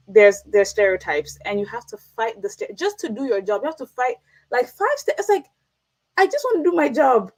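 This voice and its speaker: A woman speaks as an interview guest in a middle pitch. She is earnest and reflective, and repeats key phrases to drive them home. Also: rough recording. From United States. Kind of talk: casual interview conversation.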